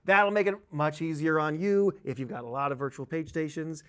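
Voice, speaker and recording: Man, somewhat high-pitched voice, software trainer, conversational tutorial, informal explanations, playful asides. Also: steady tone, fairly quick, clear, resonant tone. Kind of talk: conversational instructional screen-share tutorial.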